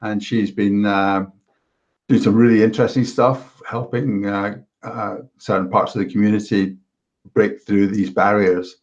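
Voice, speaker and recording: Low-voiced host, muffled lo-fi audio. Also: male voice, little pitch movement. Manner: measured, deliberate, halting. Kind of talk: interview chat.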